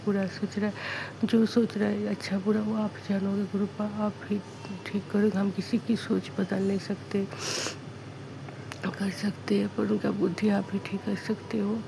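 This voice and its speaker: A hoarse woman speaks an earnest devotional testimony in halting, repeated phrases of thanks. Her voice has a gravelly fry and stays flat in pitch. The home recording carries clear background noise. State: sore throat, hoarse.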